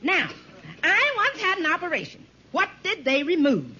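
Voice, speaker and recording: An actress playing a forceful, indignant woman with an imperious, emphatic delivery in old-time radio sitcom style. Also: extremely high pitch, big pitch swings, normal speed, full character voice. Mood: brisk, demanding. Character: forceful, indignant woman questioning a supposed husband. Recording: muffled vintage radio recording, audience, faint hiss.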